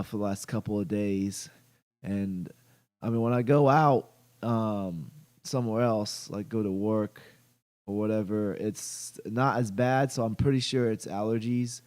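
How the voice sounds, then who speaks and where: Stuffy-nosed man, slow halting host. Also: on the low side, darkish tone. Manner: halting, many fillers and restarts; greets chat viewers by name. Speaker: gaming channel host. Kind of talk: casual livestream chat.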